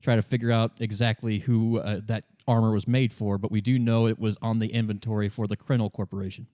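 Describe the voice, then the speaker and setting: A tired male detective played by a game master talks in a fairly low voice, halting and deadpan. He is dry and matter-of-fact, as in a tabletop game, and the sound is close and free of room echo. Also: natural voice. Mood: weary, matter-of-fact. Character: tired, dry police detective partner, a man.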